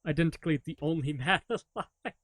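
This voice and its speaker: A man's voice: a little high, brisk, fairly bright tone, dry recording. Joking male streamer, dry close sound. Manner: joking and self-mocking, laughs often. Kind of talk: casual live-stream chat answering viewer questions.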